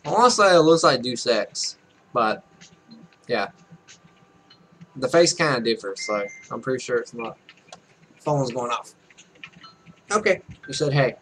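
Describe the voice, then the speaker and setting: A man's voice: medium pitch, expressive, measured pace, long pauses, a bit bright, slight background noise, low-quality recording. A man in his twenties reading game text aloud with casual, joking, self-mocking commentary. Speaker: Let's Play streamer. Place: small room at home.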